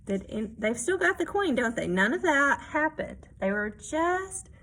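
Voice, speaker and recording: A woman reading a children's picture book aloud expressively, pausing to add explanations and check-in questions for young listeners. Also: sits high, sing-song, fairly bright tone, slight background noise. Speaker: read-aloud storyteller.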